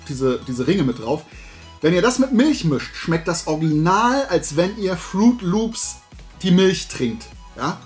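A middle-aged man chatting casually and colloquially as the host of a hobby video, rambling along in a loose, talkative way. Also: on the high side. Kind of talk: casual chat, product tasting and recommendations. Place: room at home lined with shelves of toy figures.

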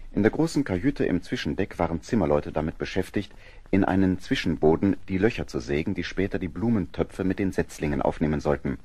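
A man narrating a historical adventure radio play in a measured storytelling manner. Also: low-pitched, darkish tone, breathy edge. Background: music.

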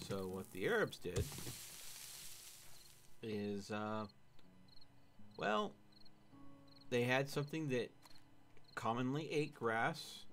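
A man in his thirties chatting casually as he streams a game from home, explaining things in a measured, dry way. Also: lowish voice, noisy background. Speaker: livestream gamer and host. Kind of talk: casual gaming chat with explanations.

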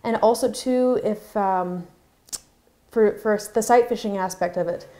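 A woman in her thirties co-hosts a fishing show in a bright indoor studio. Her voice is warm and mellow. She talks in a chatty, animated way, often restarting her sentences. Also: mid-range voice. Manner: chatty and animated, quick restarts, laughs easily. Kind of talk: casual chat.

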